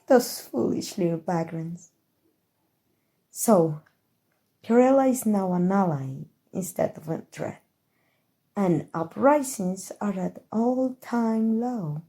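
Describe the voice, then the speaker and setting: A woman's voice: medium pitch, creaky, warm tone. A woman playing a haughty, scheming evil queen in an ASMR roleplay, with a regal, theatrical, condescending manner. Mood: smug, scheming. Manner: regal, theatrical, condescending, drawling with amused disdain. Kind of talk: ASMR roleplay audio drama.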